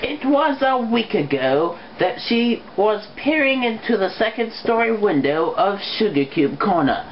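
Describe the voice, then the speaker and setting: Female amateur story reader, animated intonation, unhurried, on a muffled home recording. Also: mid-range voice, slight background noise. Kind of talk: amateur fan fiction narration.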